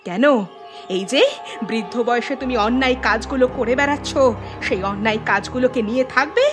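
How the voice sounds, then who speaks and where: A woman's voice: on the high side, a little fast, music in the background, natural voice. A woman voicing an angry, bitter wife in a cartoon village drama, scolding and emphatic.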